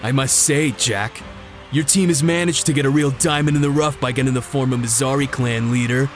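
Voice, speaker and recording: Guy doing smug rival fighter boss, taunting, medium pitch, music behind him. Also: fairly quick, close to an everyday voice. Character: smug, taunting male rival clan leader. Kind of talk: visual novel voice acting. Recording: voice over background music.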